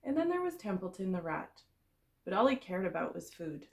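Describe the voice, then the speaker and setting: A woman reads a children's story aloud with expressive phrasing. Her mid-pitched voice has a noticeable creak, and she tells it clearly for very young listeners, like a teacher in front of a kindergarten class. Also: fairly quick.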